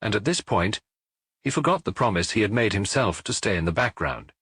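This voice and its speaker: A man narrates a detective audiobook with a very lively, moving pitch. His voice has a husky grain and is recorded dry, in a scripted, dramatic storytelling style. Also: fairly quick, no echo.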